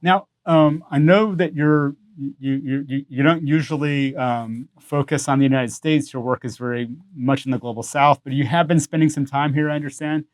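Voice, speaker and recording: A man's voice: on the high side, steady tone, moderate pace, hardly any pauses, no echo. A man hosting a podcast interview, talking in a loose, conversational way with plenty of "you know" and "um". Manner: conversational, with many fillers. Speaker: podcast host.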